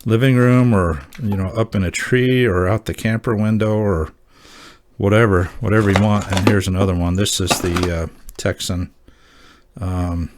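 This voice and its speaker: A man hosting a hobby gear video, talking casually and off the cuff in a halting, folksy, informal way. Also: low-pitched, a little mellow, slight background noise. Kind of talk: casual show-and-tell talk.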